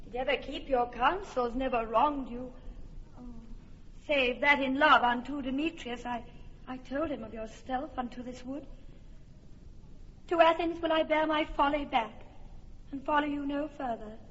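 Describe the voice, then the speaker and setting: A woman's voice: high voice, normal speed, long pauses, a bit bright, big-room sound, highs cut off. Helena, a wounded young woman. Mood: pleading. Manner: impassioned verse, fierce and wounded. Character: Helena, a jilted young Athenian woman, tall and wronged. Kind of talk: classic stage radio drama.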